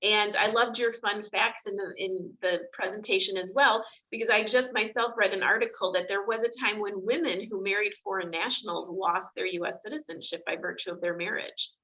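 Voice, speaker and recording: A woman immigration attorney chats over a video call, loose and conversational with plenty of fillers. Her voice is bright and forward. The call audio is a little dull and lo-fi. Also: medium pitch, steady tone, fairly quick, few pauses. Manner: chatty, many fillers. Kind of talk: video call panel discussion.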